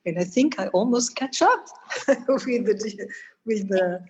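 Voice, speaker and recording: A woman from France giving a conference talk over a video call, pausing often with fillers and restarting her sentences. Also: medium pitch, lively intonation, darkish tone, breathy edge. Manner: hesitant, many fillers and restarts. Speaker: panel speaker, stem cell doctor.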